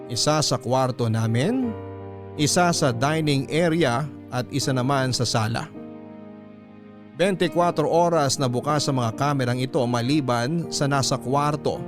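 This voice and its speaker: A man's voice: mid-range voice, unhurried, lightly acted. Actor as young man narrating, music behind. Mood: confessional. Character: young male vlogger confessing his ghost story. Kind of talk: first-person radio drama narration.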